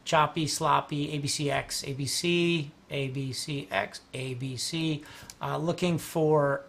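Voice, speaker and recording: Male host, fairly high, gravelly, losing his voice, loose rambling chart talk. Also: fairly even intonation, measured pace, a bit bright. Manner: rambling, restarts, clears throat often. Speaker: host and market analyst. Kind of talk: casual livestream chart analysis.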